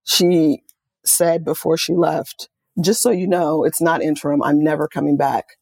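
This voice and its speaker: A woman's voice: low-pitched, a little slow, vocal fry, breathy edge, no room sound. A middle-aged woman from Oakland, California, an author and music journalist, telling stories with enthusiasm in an interview. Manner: enthusiastic, animated storytelling with quoted asides. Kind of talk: conversational interview.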